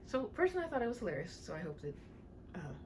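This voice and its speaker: A woman hosting a read-aloud, moving between playful chat and dramatic, animated storybook narration. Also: medium pitch, very fast, a little hiss or hum. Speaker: channel host reading a classic aloud. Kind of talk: chatty host talk and expressive storybook narration.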